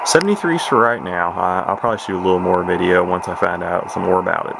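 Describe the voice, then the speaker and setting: A man's voice: low-pitched, fast talker, creaky. A man giving a casual, unscripted hobbyist demonstration, rambling along in a folksy, plain-spoken way. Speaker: hobbyist radio collector. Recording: loud radio static and hiss. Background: CB radio static and squalling.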